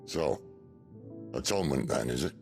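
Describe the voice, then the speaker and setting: A world-weary, wry rebel leader from a video game, middle-aged and measured. His voice is extremely deep and thick with gravel, over faint background music. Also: animated, a little mellow. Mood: amused, scheming. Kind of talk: video game cutscene acting. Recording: music behind the voice.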